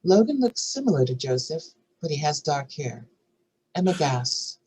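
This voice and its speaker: A narrator delivers screenplay directions in a scripted reading. The voice is husky and sits at a middle pitch. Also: big pitch swings, moderate pace, dark tone.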